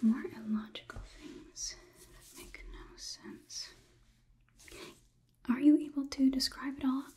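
A young woman whispering an ASMR roleplay close to the mic as a coolly logical robot overlord, in a halting, clinical, deliberate way. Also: sits high, darkish tone. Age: young adult. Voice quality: breathy, unvoiced whisper. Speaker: ASMR performer. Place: small indoor room. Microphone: close microphone.

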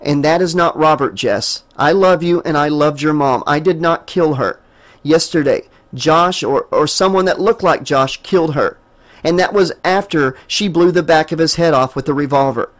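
A man's voice: fairly high pitch, moderate pace, lightly acted. A man playing an earnest, desperate young man. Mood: insistent. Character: young man, desperate, trying to protect her.

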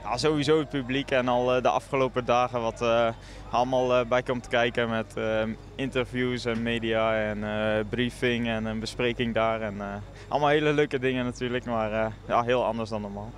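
A man's voice: medium pitch, steady tone, normal speed, hardly any pauses. A young man answering as an athlete in a post-race interview into a handheld mic outdoors. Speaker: professional cyclist interviewee. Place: outdoors by team truck in street. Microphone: handheld reporter mic. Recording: outdoor street ambience with vehicle noise.

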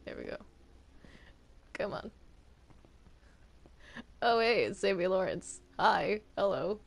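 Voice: medium pitch, lively intonation, takes it slowly, creaky. A player chatting casually through an improvised Minecraft roleplay, hesitant and often repeating words over a gaming microphone. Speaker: player in a group game roleplay. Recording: noisy home game recording with clicks.

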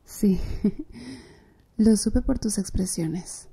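A slow, flirty tattoo artist with a dark, mellow tone, as a woman plays her for an ASMR roleplay. She speaks softly and breathily, teasing her client with easy confidence. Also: medium pitch, exaggerated voice. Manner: soft, breathy, teasing with little laughs.

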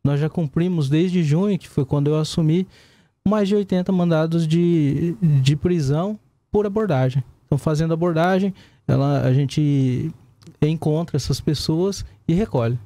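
A Brazilian man with a fairly high, soft-edged voice answers interview questions as a police commander. He explains step by step, checking in with short tags, close and dry on a studio microphone. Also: moderate pace, no echo. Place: small indoor broadcast studio. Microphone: desk gooseneck microphone.

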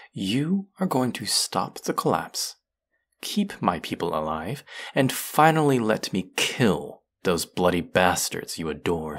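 A low, unhurried villain voice, acted by a man for a fantasy audio roleplay. He is menacing and mocking, a vengeful genius who picks his words deliberately and speaks with intimate, sardonic threat. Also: slightly rough, full character voice. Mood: threatening. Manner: slow, deliberate, sardonic, dropping into whispers.

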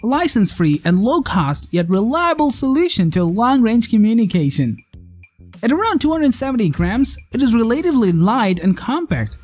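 A narrator with a mid-pitched voice delivers a scripted product review. The intonation moves up and down in a lively way, with a measured, promotional read-aloud delivery. Faint music plays behind the voice. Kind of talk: scripted narration of a tech countdown. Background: background music.

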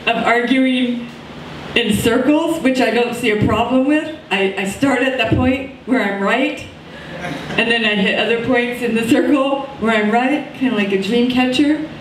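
A woman's voice: on the high side, measured pace, a little hiss or hum. Middle-aged woman doing rambling stand-up. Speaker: open mic comedian. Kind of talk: stand-up comedy set. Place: small coffee shop stage, indoors. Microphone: handheld mic, far camera. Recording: echoey room from far off.

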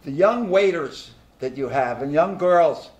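A man's voice: a little high, animated, unhurried, a little mellow, dull audio. Rambling man in his nineties. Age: 90s. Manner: rambling, folksy, many fillers and restarts. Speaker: talk show host. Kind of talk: casual interview chat. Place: small studio with banners, seated at a table.

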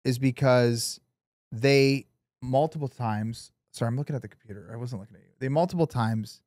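A man's voice: mid-range voice, fairly quick, a little mellow, no echo. Casual man talking politics, dry close sound. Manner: informal, swearing, emphatic. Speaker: podcast co-host. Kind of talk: casual opinionated political chat.

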